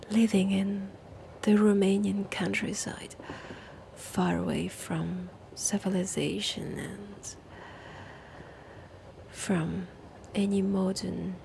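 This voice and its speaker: A woman voicing a centuries-old vampire woman with a French accent, speaking in a husky, whispery, sick voice in a slow, teasing, languid way for an audio roleplay. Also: mid-range voice, background noise.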